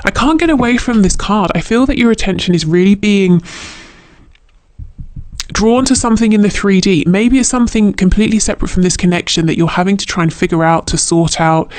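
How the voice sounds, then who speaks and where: A man's voice: sits high, a little fast, dry recording. A man giving an unscripted spiritual tarot reading to the viewer, reflective and often hesitant as he searches for phrasing. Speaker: tarot reader.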